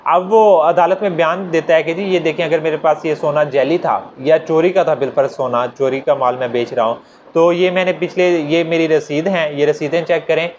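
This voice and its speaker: A man with a Pakistani accent giving an informal explanatory law lecture, conversational, repeating his points and walking through examples. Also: on the high side. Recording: dull lo-fi audio with noticeable noise.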